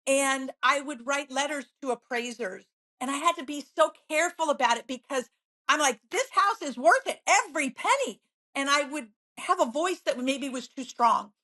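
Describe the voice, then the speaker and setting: Woman, real estate agent, high-pitched, bright, bubbly, positive, storytelling. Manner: bubbly, upbeat, quick to laugh. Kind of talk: conversational interview.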